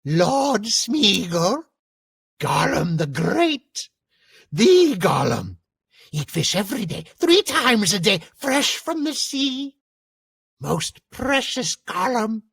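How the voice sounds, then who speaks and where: A man voicing a small, dark, greedy scheming creature in a dramatized reading, with a raspy, creaky voice. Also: high voice, sing-song, slow, pitched above the performer's own voice, heavily acted. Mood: scheming, grandiose.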